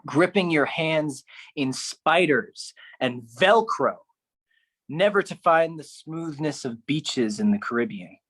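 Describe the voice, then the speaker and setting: A man performing a theatrical spoken-word poetry monologue, phrased deliberately and dramatically, with shifting intensity. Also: medium pitch, a bit bright, no echo.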